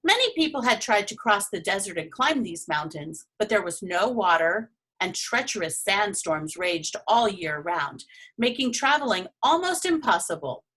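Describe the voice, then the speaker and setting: A woman narrates a children's storybook with expressive, animated intonation. Her voice is slightly husky, and she lingers on the ends of phrases. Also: mid-range voice, normal speed, poor audio. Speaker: storybook reader.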